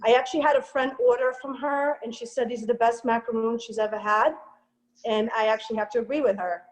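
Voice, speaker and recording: A woman chats casually and praises every dessert with open enthusiasm. Her voice is a touch high, and she talks in a relaxed way. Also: fast, low-quality recording. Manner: gushing, effusive praise. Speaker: co-presenter and taster.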